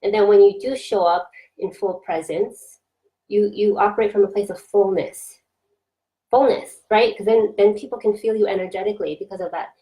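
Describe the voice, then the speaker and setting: Soft-spoken woman coach live-streaming. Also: medium pitch, moderate pace, poor audio. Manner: gentle, reflective, many fillers and restarts. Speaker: coach for introverted business owners. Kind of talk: casual Facebook Live talk.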